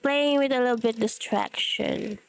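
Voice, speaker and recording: A woman's voice: a little high, normal speed, gravelly, clean tone. A woman from the Philippines with a Filipino accent, whose first language is Tagalog, giving rambling gameplay commentary alone in her room, with a voice still recovering, many fillers and self-corrections. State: voice recovering after being lost. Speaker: game streamer.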